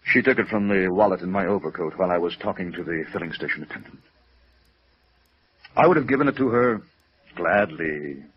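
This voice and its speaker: A man playing a proud, lovelorn older gentleman in a 1950s radio drama, formal and defensive, with bitterness underneath. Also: low voice, fairly quick, pauses often, breathy edge. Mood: bitter, wounded. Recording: muffled vintage radio recording.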